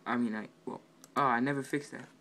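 A man giving a casual, halting, offhand walkthrough of his project, recorded indoors on a handheld camera. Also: mid-range voice, fairly even intonation, sharp tone. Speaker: amateur game developer. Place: indoors at a computer. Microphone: handheld camera pointed at monitor. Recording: noisy amateur room recording.

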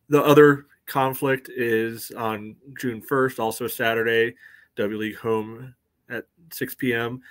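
A man's voice: lowish voice, fairly bright tone. Man chatting casually about sports. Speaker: podcast co-host. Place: small room at home, indoors. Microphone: video call.

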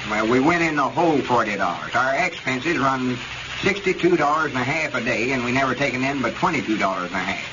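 A man's voice: mid-range voice, lively intonation, a little fast, pauses often, background noise, close to an everyday voice. Muffled old radio show, guy playing self-important southern country boss, medium voice, explains laboriously. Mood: exasperated, explaining. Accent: rural Southern US. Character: self-important, exasperated rural circus owner. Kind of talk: old-time radio comedy acting.

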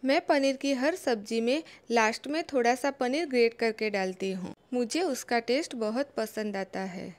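A young woman giving a calm, step-by-step home cooking voice-over as a channel host. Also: on the high side, normal speed, hardly any pauses. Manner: calm, instructive, homely guidance.

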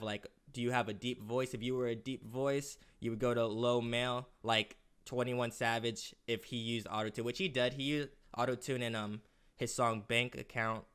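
A man's voice: mid-range voice, pushed and bright, clear, resonant tone. A young man hosting a casual screen-recorded tutorial from a small room indoors, chatty and loose with plenty of fillers. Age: young adult. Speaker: music production tutorial host.

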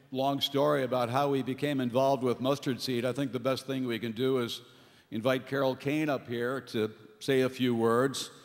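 A middle-aged man hosting an event, giving informal remarks from a stage into a stand microphone. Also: mid-range voice. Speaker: event host. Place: theatre stage before an audience. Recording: echoey hall with audience noise.

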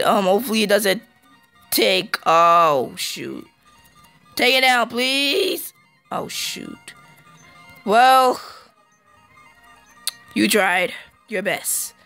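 A man with a hoarse, fading voice giving casual let's-play commentary, halting with ums and throat-clearing, recorded on a phone. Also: high-pitched, bright, forceful tone. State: hoarse, losing his voice.

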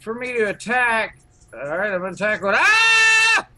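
An excitable co-op gaming partner chatting casually and blurting out short exclamations during play. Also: medium pitch, moderate pace. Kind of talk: casual gameplay chat.

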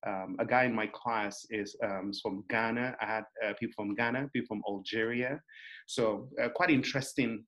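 A man's voice: lowish voice, steady tone, moderate pace, a bit bright. A man in his thirties from Nigeria with a Nigerian accent, talking casually about his upbringing over a webcam in a small room, with many ums and light laughs. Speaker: interviewee. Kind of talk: casual personal storytelling. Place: small room indoors, bright wall behind. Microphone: webcam on video call.

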